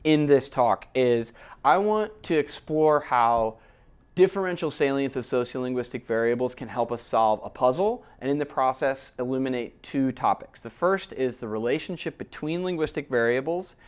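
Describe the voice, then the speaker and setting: A man's voice: medium pitch, normal speed, smooth. Man giving a conversational lecture. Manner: checks in with listeners often with "okay?" and "right?"; conversational, explains step by step. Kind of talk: academic lecture.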